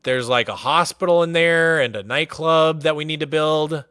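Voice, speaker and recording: A fairly high-voiced man from Massachusetts talks his way through a game-world tour on a livestream, loose and chatty, with "like" dropped all through his sentences. The sound is dry and close, right up on a desk mic. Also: moderate pace, clean tone, dry recording. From Massachusetts, USA. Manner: relaxed and chatty, many "like" fillers. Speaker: streamer. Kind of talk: casual livestream commentary, touring a game world.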